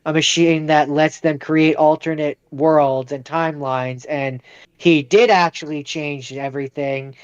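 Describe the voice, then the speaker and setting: A man's voice: on the high side, fairly even intonation, a little slow, clean tone, no echo. A man co-hosting a podcast, casually explaining films and going back over his points, on slightly dull audio. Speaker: podcast co-host. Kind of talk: casual film discussion.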